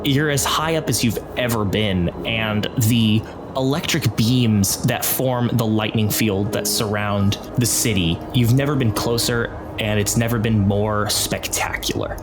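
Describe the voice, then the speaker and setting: A man acting as game master, describing scenes in improvised narration with pauses mid-phrase. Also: fairly low pitch, music in the background.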